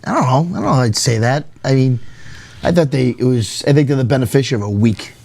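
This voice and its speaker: Quick, blunt co-host. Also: male voice, mid-range voice, expressive, creaky, a little mellow. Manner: blunt, opinionated. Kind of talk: casual sports chat.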